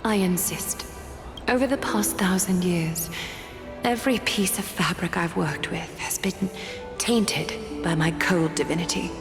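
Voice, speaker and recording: Serene demigoddess in long reverb. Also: female voice, on the low side, measured pace. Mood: serene resignation. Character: serene fading demigoddess, resigned and dignified. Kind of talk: fantasy game voice acting. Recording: long echoing reverb, music underneath.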